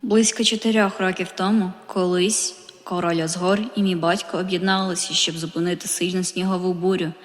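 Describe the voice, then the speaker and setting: A woman voicing a calm, caring young skeleton older brother in a comic dub, with a gentle, easygoing manner. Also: fairly low pitch, fairly quick, hardly any pauses, long reverb tail, soft background music, natural voice. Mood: calm narrating.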